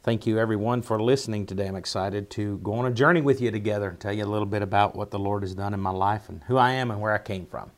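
A middle-aged man with an Appalachian accent, telling personal stories as a guest in an interview, halting and reflective, often repeating words. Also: fairly low pitch. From southwest Virginia, United States. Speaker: pastor, podcast guest.

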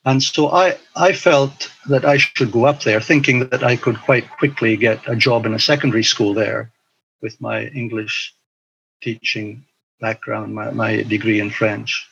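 An older man reflecting on his past in an interview, speaking in a hesitant, halting way. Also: medium pitch, fairly even intonation, creaky, a bit bright, slightly rough, highs cut off. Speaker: interview guest, former teacher abroad. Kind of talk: recollection in an interview.

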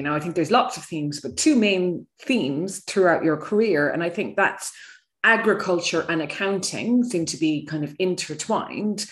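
A woman hosting a podcast, putting questions to her guest in a conversational interview style. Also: on the low side.

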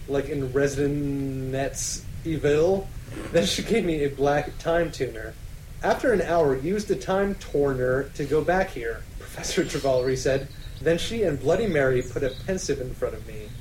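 A man's voice: fairly high pitch, darkish tone, a little hiss or hum, poor audio. A man co-hosting a podcast, reading fan fiction dramatically and bantering casually, often amused as he goes. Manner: often breaking into laughter while reading. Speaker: podcast co-host.

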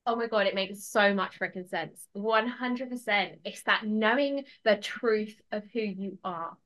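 A woman's voice: mid-range voice, unhurried, fairly bright tone. A woman speaking as a podcast guest and mentor, in casual coaching talk with an emphatic, encouraging manner.

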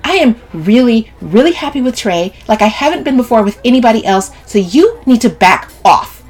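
An earnest woman from an audiobook novel, played by a woman narrator, speaking in a raised, high voice. She is insistent and pleading, easily offended and quick to moralize. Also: animated, a little mellow, breathy edge. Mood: defensive, snappish.

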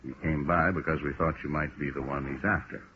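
An actor playing a blunt, gruff American police detective with a hard edge in a 1950s radio drama. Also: male voice, extremely low pitch, steady tone, brisk. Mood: brisk, pressing. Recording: muffled, noisy vintage radio recording.

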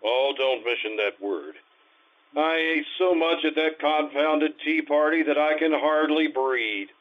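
A man's voice: a little high, unhurried, lightly acted. A middle-aged man playing a grumpy, drowsy, overstuffed father in an old-time radio comedy, blustering and groggy. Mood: grumpy, weary. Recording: muffled lo-fi radio-play recording.

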